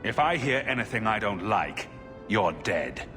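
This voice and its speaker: An actor in a video game playing a cool-headed adventurer, direct, composed and to the point. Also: male voice, on the low side, very animated, unhurried, music in the background. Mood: firm, threatening.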